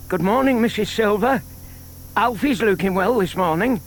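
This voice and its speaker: An actor playing a shy, lovelorn older bachelor in an audio drama, earnest and hesitant in manner. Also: male voice, high-pitched, animated, normal speed, a little hiss or hum. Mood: polite. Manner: hesitant, earnest, murmured asides.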